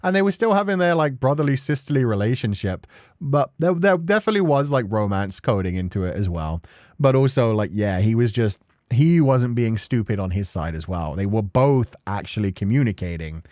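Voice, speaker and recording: A man's voice: mid-range voice, expressive, brisk, darkish tone, dry recording, studio quality. A man giving casual, emotional commentary on a story game, rambling informally through his analysis as a streamer. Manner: informal, swearing, rambling analysis with acted-out paraphrases.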